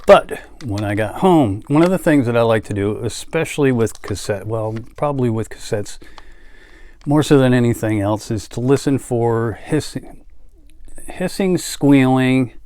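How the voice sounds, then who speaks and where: A man talks through his collection at a slow, unhurried pace. His delivery is casual and halting, drifting into small asides, with a mid-range pitch. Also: lively intonation, darkish tone. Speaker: music collector and vlogger. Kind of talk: casual show-and-tell chat.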